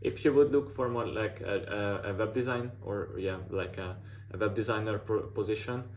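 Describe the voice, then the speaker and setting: A man's voice: on the low side, moderate pace, darkish tone, a little hiss or hum. A man who is not a native English speaker, giving casual portfolio feedback as a mentor with lots of "like" fillers and restarts.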